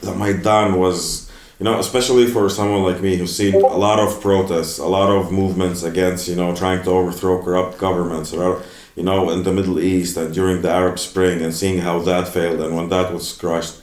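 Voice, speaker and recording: An earnest, animated man from Ukraine. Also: low-pitched, fast. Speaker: guest. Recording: roomy echo.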